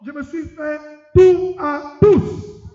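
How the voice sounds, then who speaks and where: A middle-aged man preaching a lively sermon, talking straight to the congregation in a conference hall. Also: extremely high pitch, slow. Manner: lively, addresses the congregation directly. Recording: echoey hall, dull sound.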